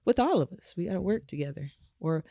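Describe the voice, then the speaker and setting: Raspy-voiced woman chatting easily. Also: on the low side, animated, fast, warm tone, no room sound, studio quality. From Omaha, United States. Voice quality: naturally raspy voice. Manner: laid-back, frequent "you know" and laughs. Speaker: guest musician. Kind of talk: casual interview chat.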